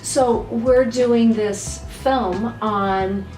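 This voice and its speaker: A woman interviewer putting gentle, unhurried questions to her guests for a documentary. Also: fairly high pitch, steady tone. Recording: roomy, distant mic with music bed.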